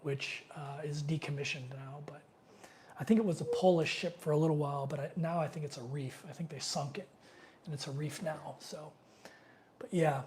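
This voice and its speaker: A man's voice: a little high, fairly even intonation, normal speed, noisy background. A middle-aged man with a cold, sniffling as he hosts a casual live question-and-answer stream, rambling loosely with many fillers. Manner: loose and rambling, many fillers, reads viewer comments aloud.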